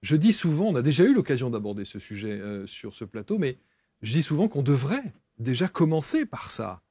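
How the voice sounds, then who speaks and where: A man's voice: medium pitch, very animated, a little fast, warm tone, breathy edge, dry recording. Man hosting a talk show, dry sound.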